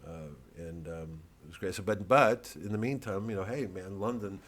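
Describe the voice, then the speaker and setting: A man with an American accent and a lowish voice delivers a casual comedian's monologue. He wanders from one aside to the next and swears freely, as if chatting straight to his followers. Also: normal speed. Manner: rambling, digressive, profane. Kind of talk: casual vlog monologue to fans.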